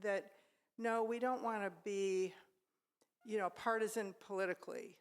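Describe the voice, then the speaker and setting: Woman, older, participant in a church class, offering a thoughtful, unscripted comment at natural mid pitch.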